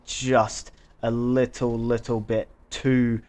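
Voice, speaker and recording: Guy doing play-by-play on his own racing game, mid voice, pretty flat delivery. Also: mid-range voice, slow. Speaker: sim racing player narrating own race. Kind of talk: race commentary over recorded gameplay.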